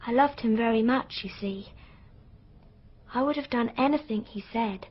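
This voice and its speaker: A young girl witness in a radio drama, with a high, breathy voice. She is earnest and hesitant, as if confiding a secret. The recording sounds dull and muffled. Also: moderate pace. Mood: innocent confiding.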